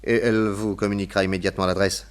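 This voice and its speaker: Shrewd radio-play detective, played by a man: lowish voice, assured and explanatory, theatrical phrasing. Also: level tone, very fast, clean tone, close to an everyday voice. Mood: businesslike. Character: shrewd, self-assured male detective planning his disguise. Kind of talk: French radio drama acting.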